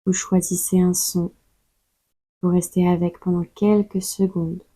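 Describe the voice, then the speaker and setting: A woman guides a meditation in a lowish, husky voice. She takes her time, calmly giving soothing instructions and leaving long pauses. The recording is dry and close. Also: dry recording. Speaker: yoga nidra guide. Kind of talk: slow guided meditation narration.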